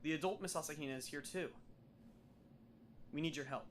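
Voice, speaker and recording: A man's voice: fairly high pitch, a little fast, a little hiss or hum, lightly acted. A man playing a wry, earnest teenage schoolboy time traveler in an anime fan dub, with a conversational delivery. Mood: apologetic, explaining.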